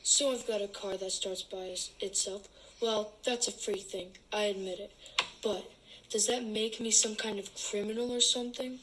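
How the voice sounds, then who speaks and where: A woman's voice: medium pitch, steady tone, normal speed, noisy background, lightly acted. A woman reading aloud the part of an accused suburban man, defensive and bewildered, in a dramatic play reading. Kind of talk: dramatic read-aloud of a teleplay.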